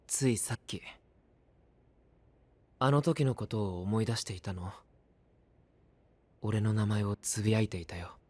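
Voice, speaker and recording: An actor playing a quiet, caring young knight in an anime-style voice drama, speaking softly and breathily. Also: male voice, lowish voice, measured pace, long pauses, dry recording. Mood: concerned, gentle. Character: young male knight, quiet, caring, worried loyal friend.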